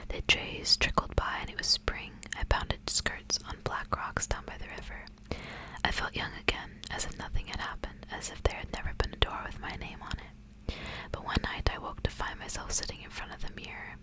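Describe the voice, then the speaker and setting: A woman speaks entirely in a whisper, in the manner of an ASMR host. Her delivery is soft, hushed and gentle, suited to reading stories aloud. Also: normal speed, poor audio.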